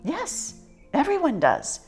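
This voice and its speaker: A woman's voice: high-pitched, wildly swooping pitch, slow pace, a little mellow, soft background music, voice pushed up, full character voice. A woman voicing a helpful, carefree little boy in a children's storybook, chirpy and emphatic. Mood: cheerful, eager. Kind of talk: children's storybook character reading.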